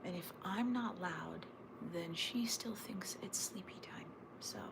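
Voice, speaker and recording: A woman hosting a casual morning livestream from home, talking in a hushed half-whisper while others sleep, rambling and joking as she reads the chat. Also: fairly low pitch, takes it slowly, gravelly, noisy background. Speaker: livestream host reading chat. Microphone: phone or camera on a tripod.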